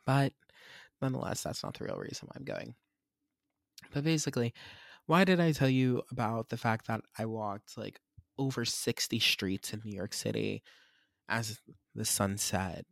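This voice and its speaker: A man's voice: fairly low pitch, big pitch swings, moderate pace, creaky, long pauses, a bit bright, dry recording, clean studio sound. A man, hosting a solo podcast and reflecting in a candid, rambling, self-searching way. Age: 20s. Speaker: podcast host.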